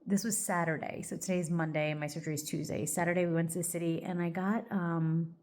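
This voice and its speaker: Woman, lowish voice, chatty get-ready-with-me vlogger, rambling with lots of fillers, a bit congested. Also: fairly even intonation, fast talker, a little mellow. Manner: rambling, loose, full of "like" and "um". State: a little congested, stuffy. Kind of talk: casual get-ready-with-me chat.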